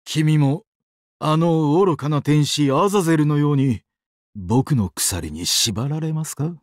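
An actor playing a sadistic, weeping angel of doomsday in a mobile game, exaggeratedly polite and dramatic, swinging between sorrow and glee. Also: male voice, on the high side, animated, slow, a little mellow, dry recording, studio quality. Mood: mournful, menacing. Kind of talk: Japanese mobile game character acting.

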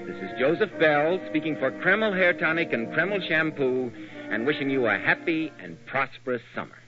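Music plays behind a male American announcer with a mid-pitched, very animated delivery. He speaks in 1940s radio style on a slightly muffled recording. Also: normal speed.

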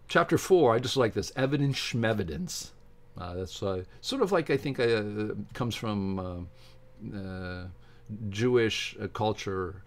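An older man reads aloud from a book and adds informal, emphatic asides as a video host. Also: fairly low pitch. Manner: emphatic, animated delivery with asides. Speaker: video host and science commentator. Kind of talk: informal commentary while reading aloud from a book. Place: indoors, home study with bookshelves.

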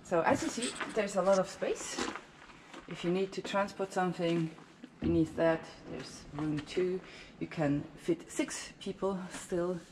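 A woman in her thirties giving a casual, halting demonstration to camera, as if searching for words. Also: on the low side, a little mellow. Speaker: vlogger demonstrating a camper van setup. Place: inside and beside a van in a large metal shed. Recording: clearly noisy, clattery shed recording.

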